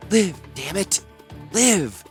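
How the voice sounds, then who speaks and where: A man's voice: a little high, big pitch swings, moderate pace, soft background music, pitched above the performer's own voice. A man voicing an earnest, compassionate female healer who pleads and implores. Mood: imploring.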